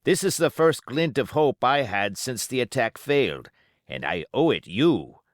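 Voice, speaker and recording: A man voicing a cunning, smooth-talking pirate with folksy, ungrammatical seafaring speech in an audiobook. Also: medium pitch, very animated, measured pace, no echo, studio quality, voice pushed up. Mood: confiding, wary. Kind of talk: audiobook character dialogue.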